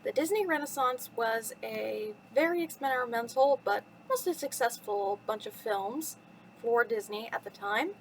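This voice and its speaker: Storytime host: woman, twenties, very high voice, cheerful and addressing kids, slight noise behind her. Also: expressive, a little slow, low-quality recording. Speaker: host reading bedtime stories to children. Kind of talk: cheerful storytime talk and expressive story reading.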